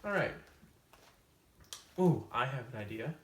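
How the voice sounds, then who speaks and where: A man hosting a comedic storytime video, casually summing up a book to camera with tongue-in-cheek, deadpan asides. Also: mid-range voice, unhurried, far from the mic. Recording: roomy echo, off-mic, faint hiss.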